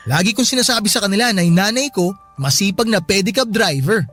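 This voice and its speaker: An actor playing a grateful, respectful young adult son in a sentimental radio drama, earnest and polite, with a Filipino accent. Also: male voice, high-pitched, expressive, brisk, a bit bright. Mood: fond pride. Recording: music under the dialogue.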